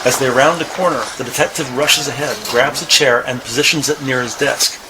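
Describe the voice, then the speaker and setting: A man's voice: mid-range voice, steady tone, fairly quick. A man reading scripted narration for a crime audio drama. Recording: noisy ambient sound bed.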